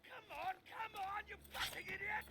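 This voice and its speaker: An actor playing an arrogant, spoiled Roman general in a video game, imperious and petulant, with a pompous drawn-out delivery. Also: male voice, very high-pitched, flat delivery, very noisy. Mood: shrieking, furious. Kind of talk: theatrical video game acting.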